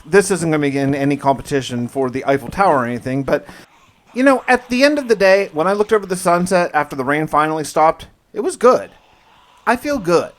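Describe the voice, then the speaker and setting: A man narrating a survival game diary in the first person, conversational and musing, with wry asides. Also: a little high. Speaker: gaming video narrator. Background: music.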